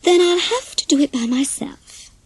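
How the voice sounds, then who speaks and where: A woman voicing a wise, patient little storybook hen who speaks in a polite, knowing, gently coaxing way. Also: very high voice, big pitch swings, moderate pace, no room sound, voice pushed up. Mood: knowing sympathy.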